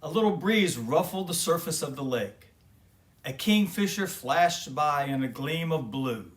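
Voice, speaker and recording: A man reading a children's story aloud as expressive narration. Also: a little high, a little slow. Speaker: children's story reader and host.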